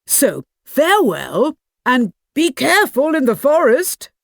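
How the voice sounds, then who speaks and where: A woman voicing a sly, charming male storybook wolf with a smooth, coaxing, falsely polite manner. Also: high voice, wildly swooping pitch, unhurried, no echo, studio quality, voice pushed up, full character voice.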